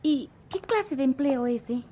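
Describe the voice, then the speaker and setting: A woman's voice: high voice, expressive, unhurried, mellow, slight background noise. A woman acting an inquisitive, uneasy young friend in a radio drama, confiding and melodramatic, on a dull recording. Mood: curious.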